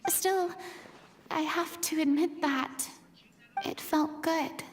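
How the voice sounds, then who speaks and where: A woman voicing a theatrical, proud young female ruler in a game cutscene, dramatic and quick to protest. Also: sits high, steady tone. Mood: wistful. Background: game music.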